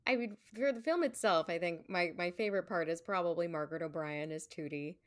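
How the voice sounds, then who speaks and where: A woman's voice: fairly low pitch, brisk, dry recording, clean studio sound. A woman speaking as a podcast guest in a casual, informal conversational way, often saying "like". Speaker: podcast guest, stage performer. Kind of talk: casual chat.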